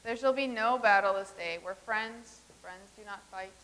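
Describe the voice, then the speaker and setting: A woman acting in a staged comedy reading as a calm, formal, peace-keeping traveler from the far future. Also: mid-range voice, moderate pace, natural voice. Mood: calm, firm.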